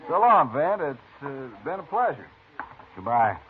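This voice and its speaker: An actor playing a polite, easygoing professional gambler and card dealer in a 1950s radio drama. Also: male voice, medium pitch, very animated, a little slow. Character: smooth, gracious professional gambler man. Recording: scratchy, tinny old radio broadcast.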